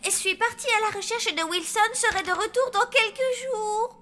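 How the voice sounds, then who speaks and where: Very high-pitched, urgent cartoon kid. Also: female voice, moderate pace, bright, forceful tone. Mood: uneasy, explaining. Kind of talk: French cartoon dubbing.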